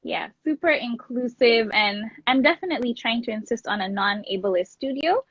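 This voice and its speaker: Higher-voiced young woman from Kenya, dull recording. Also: expressive, moderate pace, a bit bright, slight background noise. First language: Luo. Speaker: guest, classical singer and voice teacher. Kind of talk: casual interview chat. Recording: dull lo-fi close dry sound.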